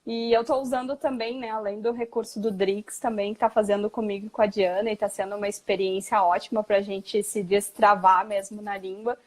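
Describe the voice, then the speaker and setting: A woman's voice: mid-range voice, steady tone, a little fast, hardly any pauses, a little mellow. A woman hosting a casual live stream who chats freely and reads out viewers' comments. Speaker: host.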